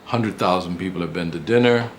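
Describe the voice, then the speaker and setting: Older male, retired academic raconteur. Low, gravelly, casual and rambling, with noticeable background noise. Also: fairly quick. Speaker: retired professor and writer. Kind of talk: casual interview reminiscence.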